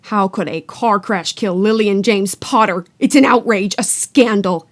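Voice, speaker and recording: A huge, rough-mannered giant of a man who bursts out loudly when he is angry and drops low when he is anxious, as a woman puts him on while reading. He talks in a blunt, homely way. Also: moderate pace, natural voice. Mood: gruff. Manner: blustering, loud outbursts. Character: big rough-voiced half-giant man, gruff and warm.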